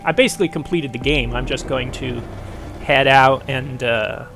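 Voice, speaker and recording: A man in the middle pitch range talks with a stuffy, congested voice while he fights off a cold. He rambles casually over his gameplay, pausing often with fillers. Faint game music sits underneath. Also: creaky. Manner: rambling, frequent "uh" pauses. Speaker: gaming channel host.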